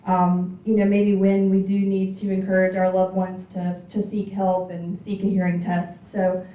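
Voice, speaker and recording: A woman's voice: medium pitch, completely flat delivery, moderate pace, warm tone. A woman, a hearing specialist giving an informal talk, chatty and full of fillers. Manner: chatty, many fillers and restarts. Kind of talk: informal community presentation. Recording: roomy, distant, dull sound.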